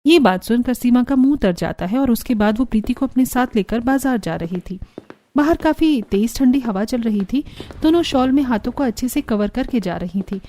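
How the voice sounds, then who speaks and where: A woman's voice: a little high, animated, moderate pace, dark tone, no echo. A woman reading scripted narration for a cartoon family story. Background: music.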